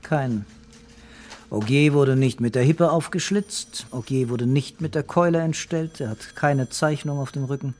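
An actor playing a middle-aged, dreamy and brooding police commissioner in a radio crime drama, talking calmly and deliberately. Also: male voice, medium pitch, slight background noise, natural voice. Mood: matter-of-fact, musing. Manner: musing, calm, deliberate.

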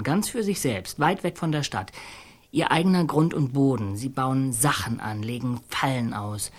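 An earnest storyteller in a radio play , performed by an actor, speaking at a middling pitch in halting, colloquial phrases. The voice searches for words and circles back on them with naive, awestruck conviction. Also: natural voice.

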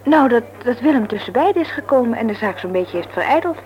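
A woman's voice: a little high, fast talker, lo-fi sound, natural voice. An actress playing a breezy, self-assured, teasing young woman in a vintage radio serial. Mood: matter-of-fact. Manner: chatty, teasing, expressive. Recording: noisy old radio drama recording.

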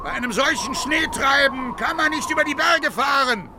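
An actor playing a gruff, blunt and contemptuous Russian army veteran of about fifty in a radio drama. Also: male voice, extremely high pitch, moderate pace, very noisy. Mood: shouting over storm.

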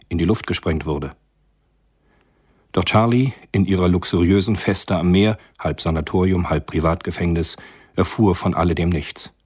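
An actor narrating a spy thriller radio play as a grave storyteller, with a rough, husky voice and a measured, sober delivery. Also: male voice, low voice, darkish tone. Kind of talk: scripted radio drama narration.

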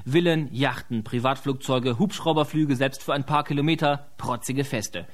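A man's voice: mid-range voice, normal speed. A man delivering pointed commentary in a radio documentary. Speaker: documentary speaker.

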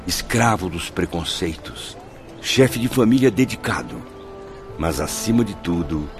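An actor playing a reflective memoirist who narrates his afterlife in a radio drama, grave and deliberate. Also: sits low.

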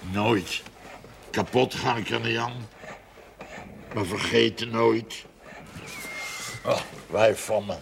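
An actor playing a gruff, stubborn but soft-hearted older husband in a television period drama, gravelly, blunt and halting. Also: male voice, on the low side, fairly even intonation, exaggerated voice. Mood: gruff defiance. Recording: noisy old television soundtrack.

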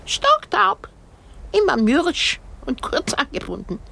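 A woman's voice: sits high, huge pitch swings, creaky, bright, forceful tone, heavily acted. Fussy old woman, wildly swooping delivery. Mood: wry, musing. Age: older. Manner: theatrical, lamenting, fussy, drawn-out. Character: complaining old frail woman, wry, morbidly self-pitying. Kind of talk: German radio drama acting.

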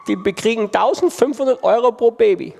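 Impassioned preacher in a reverberant hall. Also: male voice, extremely high pitch, fairly quick, gravelly, a little mellow. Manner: impassioned, emphatic repetition. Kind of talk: sermon. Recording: long reverb of a large hall.

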